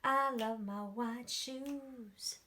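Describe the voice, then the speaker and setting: A woman's voice: on the high side, fairly even intonation, very slow, bright, forceful tone, slight background noise, full character voice. A woman voicing a cheerful, easygoing male cartoon cat from a storybook, chanting his little song in a sing-song way. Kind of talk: storybook read-aloud.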